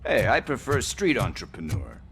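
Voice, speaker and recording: An actor playing a chatty, evasive street hustler who talks in wheedling casual slang. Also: male voice, on the low side, big pitch swings, moderate pace, a bit bright, slight background noise. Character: shifty street hustler, middle-aged man, chatty and evasive.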